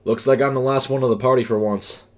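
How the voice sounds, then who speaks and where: A man voicing a dismissive male fast-food worker with a rough voice for an audio story. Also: mid-range voice, steady tone, voice pushed up, natural voice. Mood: offhand.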